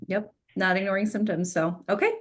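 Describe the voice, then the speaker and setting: A woman moderates a medical panel in a mid-pitched voice. She reads audience questions and moves briskly from one topic to the next. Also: fairly even intonation. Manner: brisk, organized transitions.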